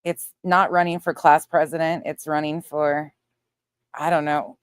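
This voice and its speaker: A woman's voice: low voice, little pitch movement, a little slow, clean tone, no echo, clean studio sound. A woman giving casual, sarcastic livestream commentary, blunt and mocking, with some swearing. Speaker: livestream host reacting to another creator's stream.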